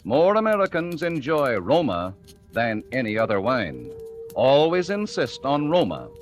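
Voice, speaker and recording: Slow, emphatic vintage radio ad man. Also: huge pitch swings. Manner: emphatic, deliberate sales pitch. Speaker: commercial announcer. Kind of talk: 1940s radio advertisement. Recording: dull vintage radio, faint music.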